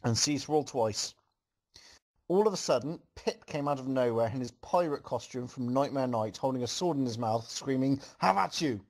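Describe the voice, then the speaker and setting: A man's voice: medium pitch, lively intonation, normal speed, a little mellow. A man reading a story aloud to a group as host, with amused, joking asides.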